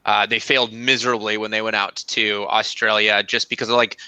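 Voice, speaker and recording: Sharp-voiced casual male co-host. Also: lowish voice, level tone, brisk, clear, resonant tone, dry recording, low-quality recording. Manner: casual, lots of likes. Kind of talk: casual interview chat.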